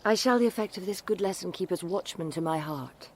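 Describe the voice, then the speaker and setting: An actress playing a dutiful, modest yet gently spirited young noblewoman in formal, measured Shakespearean verse. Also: lowish voice, a little hiss or hum, natural voice. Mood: gently teasing. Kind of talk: Shakespearean stage acting.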